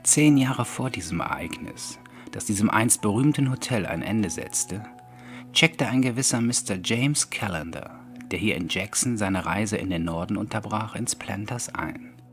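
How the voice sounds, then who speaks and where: A man narrating a scripted horror audiobook in a measured, deliberate storytelling manner. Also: fairly low pitch, animated. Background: soft background music.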